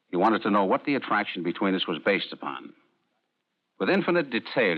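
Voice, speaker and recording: An actor playing a cold, stammering male patient who has killed his wife, in 1940s radio acting. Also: sits low. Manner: halting, stammering, coldly menacing. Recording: dull lo-fi old radio recording.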